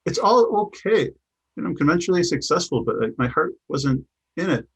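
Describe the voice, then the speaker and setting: Gravelly husky-voiced middle-aged man. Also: on the high side, very animated, a little fast, low-quality recording. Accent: Chicago. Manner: thoughtful, many restarts and repeated words. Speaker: guest, business coach. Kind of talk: conversational interview answers.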